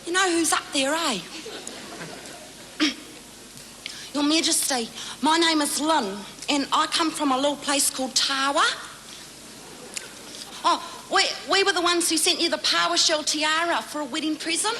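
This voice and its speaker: Woman doing gum-chewing Kiwi suburb girl, chatty, high, echoey hall, noisy. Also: measured pace, long pauses, bright, forceful tone. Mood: cheeky. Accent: New Zealand. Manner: chatty, upward inflections.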